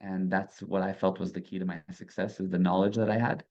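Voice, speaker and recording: Guy in his 30s, israeli-american mix accent, lowish voice, kinda muffled lo-fi sound, earnest and measured. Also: level tone, darkish tone. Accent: mixed Israeli-American. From South Africa. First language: Hebrew. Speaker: guest entrepreneur. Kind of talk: casual interview chat.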